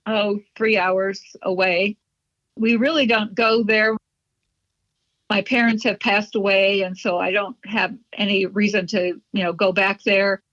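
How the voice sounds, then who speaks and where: A middle-aged woman from Chicago talks through her memories as an interview guest, warm in a chatty, reminiscent way. Her voice sits at an ordinary pitch. The recording is dry and a bit dull, with little high end. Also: no echo. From Chicago, USA. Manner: chatty, reminiscent, light laughs. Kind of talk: conversational interview storytelling. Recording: dull, slightly muffled, dry.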